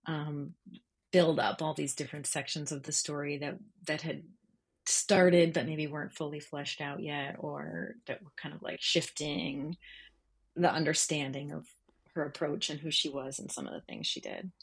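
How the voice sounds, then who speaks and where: A woman's voice: low voice, normal speed, creaky, breathy edge. A woman journalist speaking as an interview guest, explaining things conversationally and thoughtfully, feeling for the right words. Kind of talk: conversational interview, explaining their writing process.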